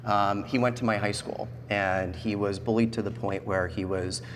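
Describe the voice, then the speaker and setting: Low-voiced American man in an echoey hall. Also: steady tone, moderate pace. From central Pennsylvania, United States. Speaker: interview guest. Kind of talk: personal storytelling in a talk show interview. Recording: echoey hall, background noise.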